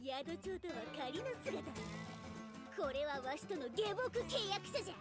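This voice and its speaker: A woman's voice: extremely high pitch, lively intonation, unhurried. A woman voicing a small, feisty, cute yet scheming girl in an anime game cutscene, with theatrical delivery. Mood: gloating, bossy. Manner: theatrical, switching between sweet girlishness and haughty elder-like speech. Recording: music behind the voice.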